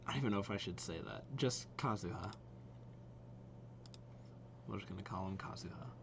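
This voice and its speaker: A man's voice: deep voice, creaky, noisy background. A man giving casual reaction commentary as host of a video, watching game trailers. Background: game trailer music.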